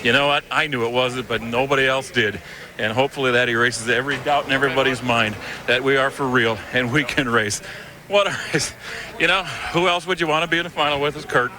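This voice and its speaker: A man's voice: mid-range voice, steady tone, brisk. A man giving a relieved, confident post-race interview at a racetrack. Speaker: winning drag racer. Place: outdoors at a racetrack. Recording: crowd noise at a racetrack.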